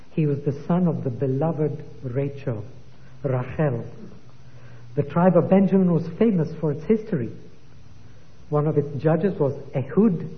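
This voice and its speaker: Man preaching, fairly high voice, slow and emphatic, sounds tinny like over a phone. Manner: slow, emphatic Bible teaching with quoted scripture. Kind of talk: sermon. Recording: tinny phone-like sound in a long-reverb hall.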